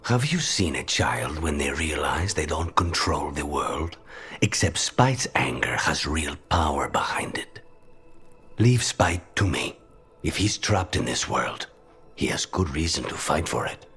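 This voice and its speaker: An actor voicing a grim male assassin with dry, weary wit in a video game, his voice gravelly and breathy and his delivery measured. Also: rumbling low, expressive, fairly bright tone, soft background music, exaggerated voice. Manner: measured, deliberate. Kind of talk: video game cutscene acting.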